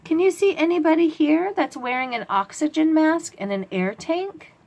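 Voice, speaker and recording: A woman's voice: sits high, animated, moderate pace, darkish tone. A woman hosting a children's read-aloud, explaining a picture book to little ones in simple, instructive phrasing with questions to the listener.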